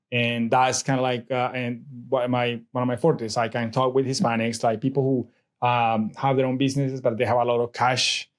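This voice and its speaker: A middle-aged man from Colombia with a Colombian Spanish accent, a native Spanish speaker talking as an interview guest in a rambling way with many restarts and fillers. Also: medium pitch. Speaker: guest, real estate investor. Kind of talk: casual interview chat.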